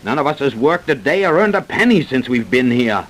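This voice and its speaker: Scheming radio-play doctor, animated pitch. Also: male voice. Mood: impatient, insistent. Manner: persuasive, brisk, self-assured. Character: scheming, smooth-talking, greedy doctor plotting fraud. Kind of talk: old-time radio drama acting. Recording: vintage radio broadcast recording.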